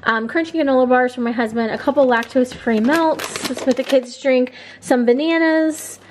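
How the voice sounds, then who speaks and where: Chatty woman vlogger, slightly high voice. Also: moderate pace, slight background noise. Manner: chatty, upbeat, filler-heavy. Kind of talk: casual haul vlog. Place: home bathroom and kitchen, indoors. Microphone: camera on tripod, then handheld.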